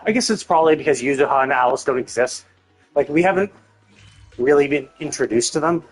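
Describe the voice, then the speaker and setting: A man's voice: fairly high pitch, expressive, fairly quick, husky, faint music behind, rough recording. A man livestreaming a game with casual commentary, explaining his opinions in a rambling, repetitive, mildly exasperated way. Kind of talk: casual live stream commentary.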